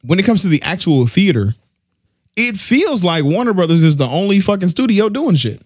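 A man hosting a casual pop culture podcast, slangy and animated, laughing often. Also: high voice, moderate pace, dry recording, clean studio sound. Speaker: podcast host. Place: studio or home studio, live on stream.

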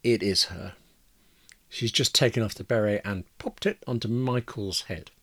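A man's voice: on the low side, moderate pace, a bit bright. A man voicing a bitter, anxious woman in a dramatic first-person story, with a wry, agitated, self-interrupting manner. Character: bitter, anxious woman stewing after losing her job.

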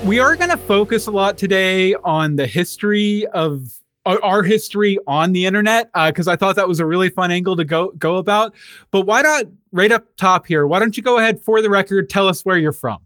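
A man's voice: high-pitched, expressive, a little fast, a bit bright, dry recording, studio quality, soft background music. A man hosting a podcast in a casual conversational interview style.

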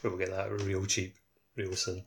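A man's voice: low-pitched, completely flat delivery, unhurried, fairly bright tone, slightly rough. A man co-hosting a podcast in casual chat. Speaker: podcast co-host.

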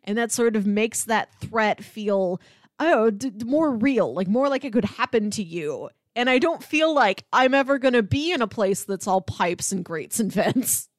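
A woman's voice: fairly high pitch, lively intonation, normal speed, no room sound, clean studio sound. A woman podcast co-host in casual film discussion, thinking aloud and talking through examples in a conversational way.

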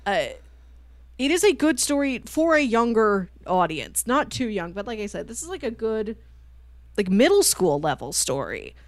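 A woman's voice: on the high side, big pitch swings, moderate pace, no room sound. A woman co-hosting a podcast, talking through a book in a casual, conversational way. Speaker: podcast co-host.

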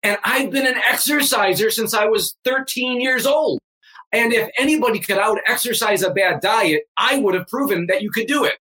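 A man's voice: high-pitched, normal speed, pushed and bright, rough recording. A man in his fifties, a fitness coach, talking emphatically and energetically as a guest on a remote interview. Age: 50s. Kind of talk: energetic motivational interview talk.

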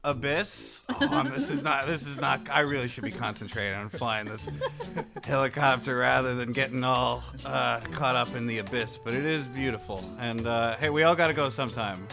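A man improvising a comedy bit as a frightened helicopter weather reporter, halting and mock-grave as he muses on doom. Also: medium pitch, gravelly, over music, natural voice. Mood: dazed, philosophical. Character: terrified helicopter weather reporter pilot, philosophizing into the abyss.

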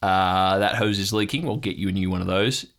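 A man's voice: deep voice, a little fast, fairly bright tone, voice pushed down. A man playing a chatty, eccentric, conspiracy-minded uncle who tinkers with boats, rambling and blustery in an improvised audio drama. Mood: practical, paranoid. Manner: rambling, blustery, goes off on tangents.

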